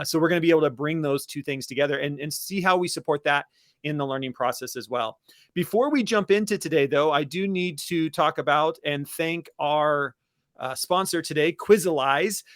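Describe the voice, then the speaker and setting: Higher-voiced man co-hosting a webinar. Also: a bit bright, dry recording, studio quality. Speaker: podcast and webinar co-host. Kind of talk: casual webinar presenting, sponsor read.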